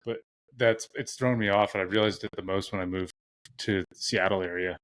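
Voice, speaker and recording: A man's voice: deep voice, steady tone, fairly quick, fairly bright tone, dry recording. A man from the US East Coast hosting a podcast, chatting casually and joking, with frequent laughs. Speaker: podcast host.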